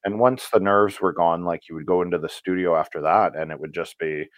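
A man who works as a voice actor, talking casually in an interview and telling stories in a relaxed, rambling way with fillers. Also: low voice, fairly quick, no echo, studio quality. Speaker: voice actor guest.

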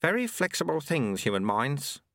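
A man's voice: a little high, big pitch swings, normal speed, fairly bright tone, no room sound, studio quality, voice pushed up. A man voicing an old male sweeper monk, blunt and folksy, who mutters and drops his grammar. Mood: confiding.

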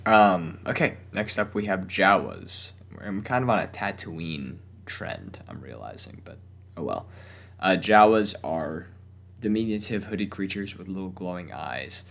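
Young man with a deep gravelly voice. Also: expressive, measured pace, fairly bright tone. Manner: hesitant, many fillers and restarts. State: weak, strained voice from a cough. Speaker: podcast host. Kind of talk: casual solo talk.

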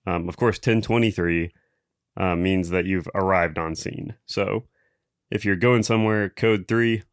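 A man hosting a casual podcast, talking in a relaxed, halting way with many pauses and ums. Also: low-pitched, clear, resonant tone, no room sound, clean studio sound. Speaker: podcast host.

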